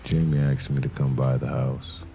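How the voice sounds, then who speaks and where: A man playing a brooding detective, narrating a crime drama in a somber, deliberate noir style with dramatic pauses. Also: very deep voice, little pitch movement, vocal fry, dark tone, a little hiss or hum. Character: brooding male detective narrating a murder case. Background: music.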